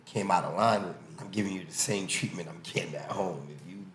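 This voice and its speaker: A man's voice: sits low, fairly quick, a bit bright. A man speaking casually and emphatically as a boxing coach and podcast guest, telling personal stories and explaining with examples. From born in the US, early childhood in Haiti, then raised in New York. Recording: echoey room with faint background noise.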